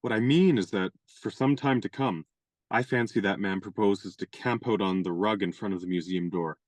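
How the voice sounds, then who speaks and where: Male actor as a courtly, wordy young gentleman; radio play, dry close sound. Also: lowish voice, moderate pace, no room sound, lightly acted. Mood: genial. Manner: courtly, elaborate, playfully pompous phrasing. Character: genial young gentleman adventurer, chivalrous and wordy.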